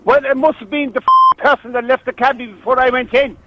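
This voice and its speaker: A man's voice: extremely high pitch, fairly even intonation, fairly quick. A man with a Cork accent on the telephone, arguing bluntly and indignantly with plenty of swearing. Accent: Cork, Ireland. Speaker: prank call victim. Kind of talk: heated phone conversation. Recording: muffled band-limited phone line.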